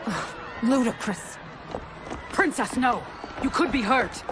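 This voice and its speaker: An actress playing a haughty, indignant young royal warrior in a video game, scornful and formal. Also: female voice, a little high, lively intonation, slow pace, a loud crowd, heavy background noise.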